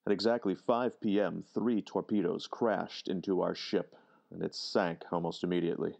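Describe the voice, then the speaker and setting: An actor playing a distinguished, formal senior government official in a deliberate old-time radio drama style. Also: male voice, sits low, fairly even intonation, gravelly, a little mellow, highs cut off. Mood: grave, formal.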